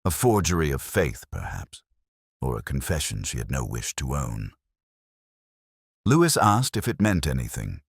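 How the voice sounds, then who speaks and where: Man voicing a very deep, brooding detective narrator. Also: big pitch swings, creaky, husky, no echo, studio quality, exaggerated voice. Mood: brooding, reflective. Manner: measured, literary, reflective, somber. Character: brooding, melancholy English male police detective narrating his case. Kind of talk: scripted first-person detective narration.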